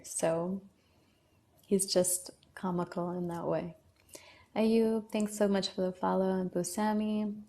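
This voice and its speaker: A woman's voice: lowish voice, creaky, dark tone. A woman hosting a casual livestream, reading out viewer comments and answering them one by one. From Lebanon. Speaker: livestream host.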